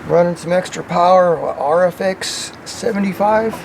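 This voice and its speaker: A man's voice: high voice, moderate pace, gravelly, a little hiss or hum. A man giving a casual hands-on vlog demonstration, rambling and thinking aloud, in and around a parked truck. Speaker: vlogger demonstrating CB radio antennas.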